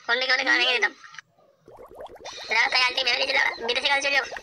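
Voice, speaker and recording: A woman's voice: high voice, little pitch movement, very fast, pushed and bright, heavily acted. A woman with a Bengali accent voicing an irritable, foul-mouthed cartoon character, blunt and complaining, in a comedy dub. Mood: grumbling. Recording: clearly noisy track with clicks.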